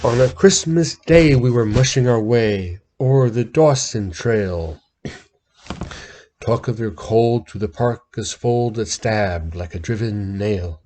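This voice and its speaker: A man reads a narrative ballad aloud in a mellow, relaxed timbre. His pace is slow and deliberate, and his theatrical delivery swells and falls in intensity. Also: mid-range voice. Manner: theatrical storytelling with big swells and drops in intensity. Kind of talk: dramatic poetry reading.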